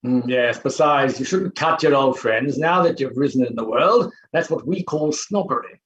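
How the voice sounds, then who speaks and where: A superior, didactic gentleman professor, played by a man in a stage play. His slightly high voice comes through an echoey room, a little muffled, with faint noise. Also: normal speed, natural voice.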